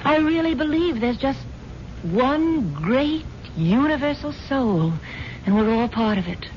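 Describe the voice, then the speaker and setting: A woman's voice: high voice, sing-song, a little slow, slight background noise. An actress playing a dreamy, earnest rich woman with a gushing, airy manner and a love of mysticism, in 1970s radio drama. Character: dreamy, earnest, wealthy wife enthralled by mysticism. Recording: dull lo-fi 1970s radio broadcast, music behind.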